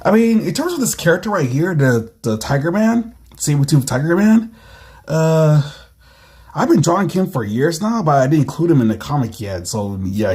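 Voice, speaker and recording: A comic creator with a husky voice reading their own comic aloud casually, rambling and repeating phrases as they comment. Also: medium pitch, mellow. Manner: rambling, halting, repeats phrases, acts out action with sound effects.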